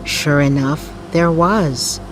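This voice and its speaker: A woman with a low voice narrates a bedtime story. She takes her time, phrasing each line in a measured, soothing way. Music plays clearly behind her. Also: low-quality recording.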